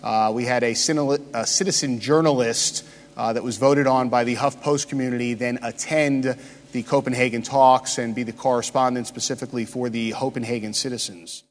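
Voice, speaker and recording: A man speaks at a conference, his mid-range voice trailing into long hall reverb. He pauses often and stumbles through fillers as he walks the audience through slides. The sound carries the wash of a big room. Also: mid-range voice, fairly even intonation. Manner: halting, many "uh" fillers. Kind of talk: conference presentation.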